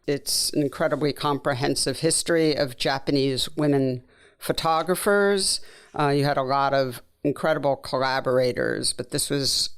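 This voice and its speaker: A woman's voice: extremely low pitch, normal speed. A woman hosting a podcast in a conversational, enthusiastic interview style. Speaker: podcast host.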